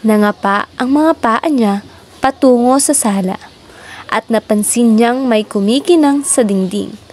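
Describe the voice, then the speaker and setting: A person reading a children's story aloud in a measured, deliberate storytelling manner. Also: medium pitch, darkish tone.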